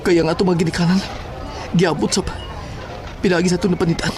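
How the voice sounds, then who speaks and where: A man's voice: sits high, little pitch movement, fast talker, noisy background, close to an everyday voice. A man playing an earnest, worried young son in a horror audio drama.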